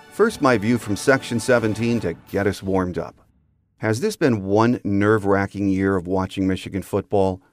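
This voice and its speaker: A man's voice: on the low side, darkish tone, dry recording, studio quality. A man hosting a sports podcast, delivering a scripted commentary monologue. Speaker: podcast host.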